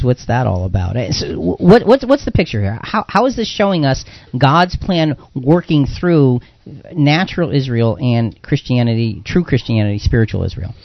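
A man's voice: medium pitch, dry recording, muffled recording. A man hosting a conversational Bible discussion, emphatic and repeating phrases for stress as he moves it along. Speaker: religious podcast host.

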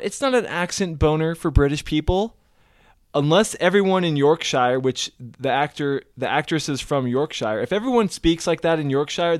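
A man's voice: on the high side, no echo, clean studio sound. A man co-hosting a casual podcast chat, rambling and often repeating his first words before getting a sentence out. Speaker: podcast co-host.

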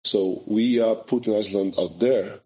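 Brazilian-accented man with a low voice. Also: breathy edge. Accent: Brazilian Portuguese. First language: Portuguese. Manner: animated storyteller who acts out quoted voices, halting second-language phrasing. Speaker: guest, photographer. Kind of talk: casual conversational interview.

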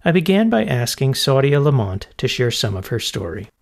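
Male radio host, mid-pitched, steady scripted read. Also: normal speed, creaky. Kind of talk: scripted radio introduction and interviewing.

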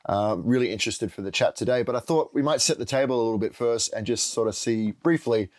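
A male interview host talks quickly and briskly as he sets up his questions. His voice sits at a middle pitch, and his delivery is relaxed and conversational. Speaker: podcast host. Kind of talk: casual interview chat.

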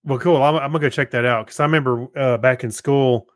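Halting, casual man in a dry recording. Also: medium pitch, steady tone, no echo. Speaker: podcast co-host. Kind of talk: casual chat.

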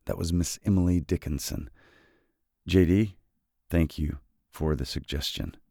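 A man hosting a literature podcast who reads classic poetry slowly and softly in a relaxed, unhurried way. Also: no echo, clean studio sound. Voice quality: very deep, gravelly voice. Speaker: podcast host and reader. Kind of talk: slow, measured poetry reading.

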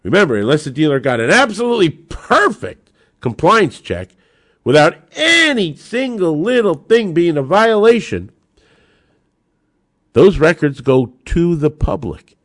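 A man's voice: fairly high pitch, very animated, a little slow, a bit bright, no echo, studio quality. An American man hosting a talk podcast, giving emphatic, opinionated commentary with sarcasm and rhetorical questions that he answers himself. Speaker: podcast host and lawyer.

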